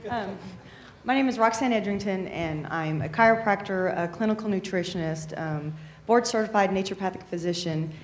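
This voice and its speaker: Female practitioner, clear explainer, slightly low voice. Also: a little hiss or hum. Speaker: chiropractor. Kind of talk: panel self-introduction.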